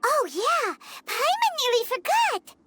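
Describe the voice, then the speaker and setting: A woman playing a tiny, perky, childlike fairy companion in a video game, with a squeaky, cutesy, chatty manner. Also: sing-song, slow pace, bright, forceful tone, no echo, heavily acted. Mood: perky, earnest. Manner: squeaky, cutesy, speaks of herself in third person. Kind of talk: cartoonish video game voice acting.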